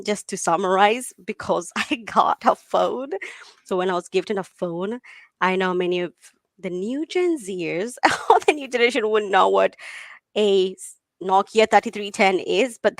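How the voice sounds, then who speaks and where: Animated East African woman, dry sound. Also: medium pitch, dry recording. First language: Swahili. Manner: eager, animated storytelling with laughs. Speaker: guest tech founder. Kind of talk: live interview answers.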